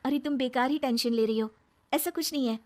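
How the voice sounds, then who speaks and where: Casting: a woman as a meek young wife in an animated family tale, high voice, placating. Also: brisk, lightly acted. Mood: reassuring. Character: gentle, submissive young daughter-in-law, apologetic and reassuring.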